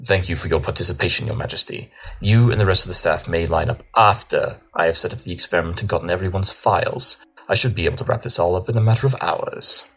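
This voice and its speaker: A man's voice: sits low, fast, hardly any pauses, fairly bright tone, low-quality recording. A man playing an arrogant, brilliant cartoon pony detective, speaking in a pompous, wordy and precise way. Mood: brisk, lecturing. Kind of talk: scripted audio drama acting.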